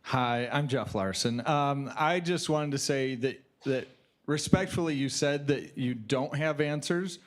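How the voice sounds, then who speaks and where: A man's voice: medium pitch, unhurried. A man speaking from the floor at a public forum, urgent and emphatic, pressing the audience again and again to act. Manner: urgent, emphatic, repeats "you have to". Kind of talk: impassioned call to action. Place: conference hall.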